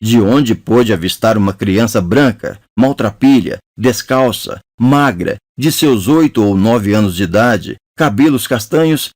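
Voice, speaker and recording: A man narrating an audiobook chapter in a measured storytelling manner. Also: fairly low pitch, lively intonation, a little mellow, no room sound, clean studio sound. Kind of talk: scripted narration.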